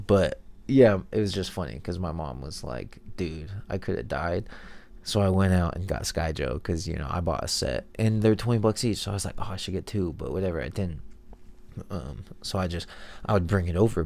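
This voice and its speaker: Stuffed-up, gravelly low-voiced man. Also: a little mellow. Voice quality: stuffed-up nasal voice. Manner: laid-back, rambling, slangy. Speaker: video host. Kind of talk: casual voice-over commentary. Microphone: headphones monitoring, voice-over mic.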